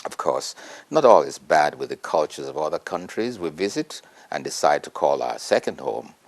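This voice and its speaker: A man reading a prepared essay aloud in a measured, earnest way. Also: rumbling low, big pitch swings. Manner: measured, earnest oratory with emphatic rises.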